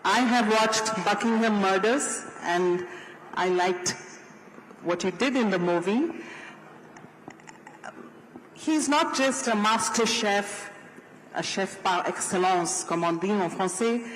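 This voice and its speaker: A moderator speaks slowly and formally, introducing the next guest. The voice rings through a large hall with heavy echo, a long reverb tail and faint room noise behind it. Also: mid-range voice, a bit bright. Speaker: panel moderator. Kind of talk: panel moderation.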